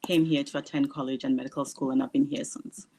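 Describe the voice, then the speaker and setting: A woman physician from Ghana, introducing herself calmly on a video call. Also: very deep voice, quick pace, slight background noise. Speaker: primary care physician. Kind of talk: self-introduction on a panel.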